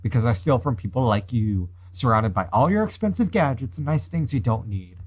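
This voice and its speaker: A man's voice: mid-range voice, lively intonation, fairly quick, natural voice. A man reading the part of a brash, threatening young female boat thief in a visual novel. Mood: self-righteous. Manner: cocky, menacing.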